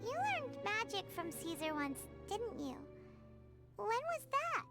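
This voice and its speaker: A woman's voice: sing-song, slow pace, exaggerated voice. A woman voicing a tiny chirpy female fairy companion in a video game, earnest and childlike. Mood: curious. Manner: speaks of self in third person, squeaky, earnest. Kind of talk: cartoonish game dialogue. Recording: loud music under the voice.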